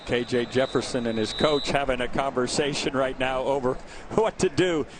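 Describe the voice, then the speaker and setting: A man's voice: mid-range voice, normal speed, background noise. A man reporting from the sidelines of a live college football game, laid-back with joking asides.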